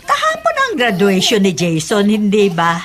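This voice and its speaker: An actress in a Filipino radio drama playing a warm, affectionate, hopeful older woman, with an expressive delivery. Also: mid-range voice, moderate pace, crowd noise. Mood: gentle, consoling.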